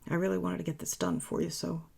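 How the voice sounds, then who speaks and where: Woman, raspy, gravelly, husky, tired voice, rambling casual craft tutorial narration. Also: fairly low pitch, steady tone, fast talker, a little mellow. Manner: rambling, self-correcting, chatty. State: voice worn from talking all day. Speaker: papercraft tutorial host.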